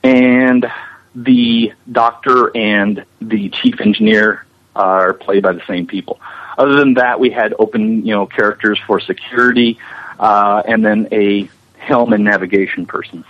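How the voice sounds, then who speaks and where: A man speaking as an interview guest, explaining his animation work with frequent pauses mid-phrase. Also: fairly low pitch. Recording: muffled, lo-fi audio.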